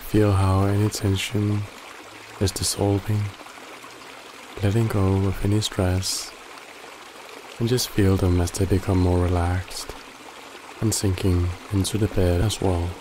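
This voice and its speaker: A man guiding a body scan meditation in a calm, gently instructive way with long pauses. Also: low voice, noisy background. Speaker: meditation guide. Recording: loud running water behind the voice. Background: trickling stream water ambience.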